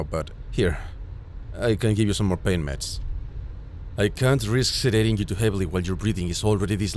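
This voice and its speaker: A man playing a caring, worried ambulance medic who gently reassures an injured patient in an immersive audio roleplay. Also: low-pitched, slight background noise, close to an everyday voice. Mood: gentle concern. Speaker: voice actor.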